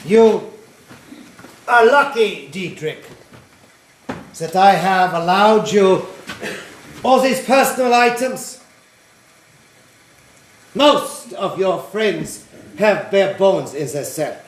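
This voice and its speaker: A man playing a smug, condescending Nazi prison official in a deliberate stage play manner. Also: high voice, lively intonation. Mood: smugly cordial. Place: theater stage. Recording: echoey stage recording, faint noise.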